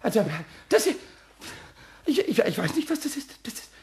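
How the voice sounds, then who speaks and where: A man's voice: very high-pitched, big pitch swings, moderate pace, a little mellow, a little hiss or hum, heavily acted. A man playing a small, cheeky, childishly indignant kobold in a German radio play, stammering and repeating himself in exclamations. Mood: outraged, flustered.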